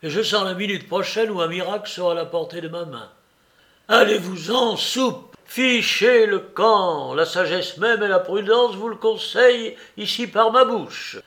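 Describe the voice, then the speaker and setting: A man's voice: fairly high pitch, expressive, unhurried, fairly bright tone. A man voicing an exasperated, sarcastic young clerk in his twenties in a storybook reading. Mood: furious. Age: 20s.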